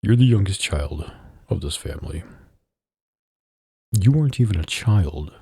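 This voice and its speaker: A man playing a domineering, sardonic mafia boss in an intimate ASMR roleplay, deliberate and commanding, deep and husky, close on a studio microphone. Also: expressive, a little mellow, no echo, heavily acted. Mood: stern, commanding.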